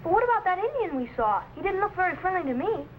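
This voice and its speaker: A performer playing a curious young boy, a time traveller's assistant, quick and questioning, in old-time radio science fiction. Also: very high-pitched, sing-song. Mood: curious, wary. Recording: dull, noisy old radio recording.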